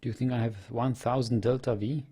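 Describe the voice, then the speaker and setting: A young man with a Czech accent, a native Czech speaker, chatting as he streams a game, explaining in halting bits with many restarts. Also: medium pitch, fairly even intonation, warm tone, breathy edge. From Czech Republic. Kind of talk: live stream gameplay chat. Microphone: headset.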